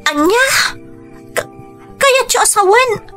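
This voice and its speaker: A woman's voice: extremely high pitch, expressive, slow, a bit bright, soft background music, heavily acted. A woman with an Ilocano accent playing a caring, exasperated grown daughter in an emotional radio drama. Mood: shocked, upset.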